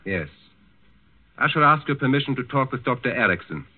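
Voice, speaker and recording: A man's voice: mid-range voice, lively intonation, brisk, close to an everyday voice. A man acting a stern, cold Nazi officer who questions formally and imperiously in a 1940s radio drama. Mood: cold, suspicious. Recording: muffled 1940s radio broadcast recording.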